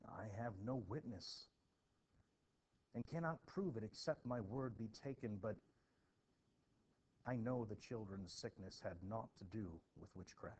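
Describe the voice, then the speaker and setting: A man voicing a blunt, guarded farmer from colonial times in a plainspoken, halting play reading. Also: fairly low pitch, a little mellow, close to an everyday voice. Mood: guarded, reluctant. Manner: plainspoken, halting, archaic rural phrasing. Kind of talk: expressive classroom play reading. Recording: dull, noisy home recording.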